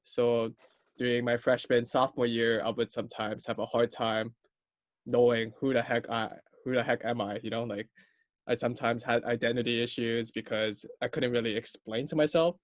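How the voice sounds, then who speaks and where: An upbeat, talkative young man from Hawaii, cracking jokes on a student podcast. His voice is mid-pitched and recorded dry and close, with no room sound around it. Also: fairly even intonation, moderate pace, dry recording. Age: young adult. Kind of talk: casual podcast chat.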